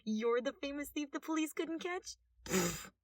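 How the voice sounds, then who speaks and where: A woman's voice: sits high, sing-song, measured pace, no room sound, distant mic, voice pushed up. A woman voicing a cheeky, giggly short young male detective with a whiny, taunting, mischievous manner in a story. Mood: mocking.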